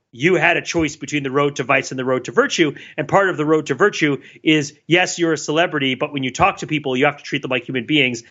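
A man's voice: mid-range voice, bright, forceful tone, dull audio. A man co-hosting a podcast, talking through ideas in a thoughtful, casual way with many fillers and restarts. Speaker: podcast co-host. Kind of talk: casual analytical chat.

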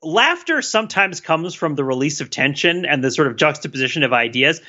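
A man's voice: a little high, sing-song, fairly quick, pushed and bright. A man co-hosting a podcast in casual chat, teasing his partner in a playfully earnest way. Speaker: podcast co-host. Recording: dull lo-fi sound.

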